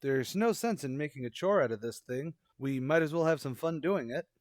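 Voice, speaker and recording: A man's voice: on the high side, expressive, normal speed, dry recording, clean studio sound, natural voice. Earnest, oblivious radio comedy schoolteacher played by a man. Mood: cheerfully oblivious. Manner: earnest, flustered, literal-minded. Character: earnest, naive, oblivious male biology teacher. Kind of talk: old-time radio situation comedy acting.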